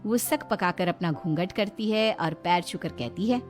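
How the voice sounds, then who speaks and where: A woman narrating an animated moral story in an expressive storytelling style. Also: fairly low pitch, normal speed, faint music behind.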